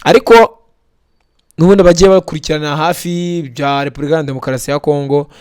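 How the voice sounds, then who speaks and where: A man with a fairly high, smooth and clear voice presents the news close to the mic. The sound is dry. He moves from reading a report to an earnest sign-off that blesses his viewers. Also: darkish tone, no echo.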